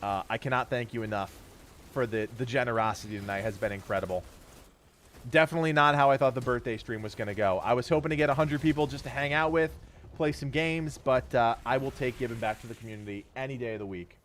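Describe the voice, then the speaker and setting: 30 y/o guy streaming, voice nearly gone and stuffy, rambles thanks to chat, soft hiss behind. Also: mid-range voice, fast. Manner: grateful, rambling, laughs often. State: losing his voice, stuffy.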